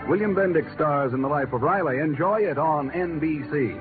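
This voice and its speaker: A man's voice: on the high side, moderate pace. A man announcing in a formal, scripted 1950s radio style. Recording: muffled old radio broadcast with music. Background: music.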